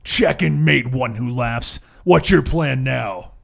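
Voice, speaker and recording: Man as stern masked hero, confident, deliberate delivery, gravelly, superhero tabletop game. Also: medium pitch, pitched below the performer's own voice, exaggerated voice. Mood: triumphant. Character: Batman, stern confident male vigilante hero, always prepared.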